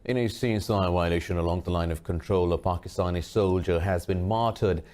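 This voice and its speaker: A man reading a scripted news bulletin as anchor, formal and measured. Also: low-pitched, a little mellow.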